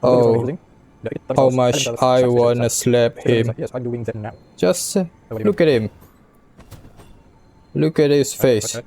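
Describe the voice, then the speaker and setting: Slow-talking man doing casual gameplay commentary. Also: mid-range voice, creaky, warm tone. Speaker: video game streamer. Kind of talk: casual gameplay commentary, reading on-screen text. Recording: faint game music behind.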